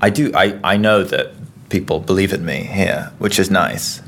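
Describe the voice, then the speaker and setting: Young male singer, very deep and gravelly, halting delivery, faint noise. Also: a bit bright. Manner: hesitant, halting, many fillers. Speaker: opera studio singer. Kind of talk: interview.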